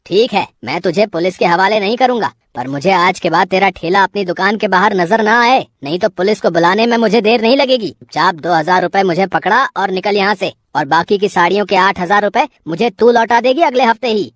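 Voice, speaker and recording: A woman's voice: mid-range voice, a little fast, few pauses, no room sound, pitched below the performer's own voice, natural voice. A woman voicing a stern male shop owner in a cartoon moral story, scolding and commanding. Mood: angry.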